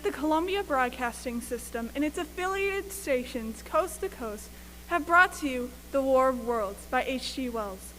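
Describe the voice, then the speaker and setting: A woman's voice: high-pitched, fairly bright tone, rough recording. A woman acting a formal old-time radio network announcer, reading in a scripted style. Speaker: actor in a school radio play. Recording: faint hum and noise, live stream.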